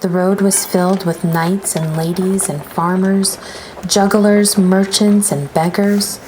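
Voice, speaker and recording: A woman's voice: mid-range voice, flat delivery, takes it slowly, dark tone, a little hiss or hum, rough recording. A woman reading a children's story aloud as narrator, expressively, drawing out some phrases.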